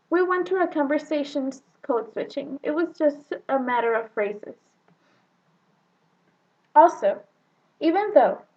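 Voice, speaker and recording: A woman's voice: high voice, dull audio. A young woman from northern Mexico, a native Spanish speaker with a Mexican accent touched by Texan, giving a careful prepared talk about herself. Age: young adult. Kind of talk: prepared personal monologue.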